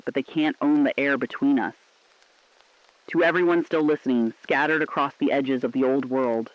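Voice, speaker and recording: A man's voice: medium pitch, no echo, lightly acted. A man playing a defiant, sombre resistance radio correspondent, speaking earnestly and poetically in a scripted drama. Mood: quietly defiant. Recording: muffled, lo-fi radio-drama sound.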